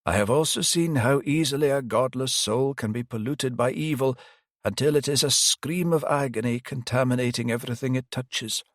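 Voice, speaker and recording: A man puts on an elderly priest with a gentle, grieving manner, lifting his voice high above his own. The old cleric speaks slowly and softly, weighing each word. The recording is dry and close, with no room sound. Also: dry recording, natural voice. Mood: sorrowful. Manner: soft, slow and sighing, higher than the narrator.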